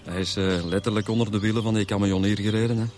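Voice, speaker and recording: A man plays an easygoing Flemish detective in a radio drama. His voice is on the low side and he explains things in loose, colloquial speech with tag questions. Faint music sits behind him. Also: fairly even intonation, fast, a little mellow, natural voice. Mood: explaining. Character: easygoing, chatty male police detective.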